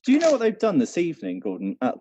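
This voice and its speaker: A man's voice: fairly high pitch, huge pitch swings, fast, warm tone, slight background noise. A British man co-hosting a quiz with casual chat and announcements, recorded with a dull, muffled sound. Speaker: quiz co-host, venue manager.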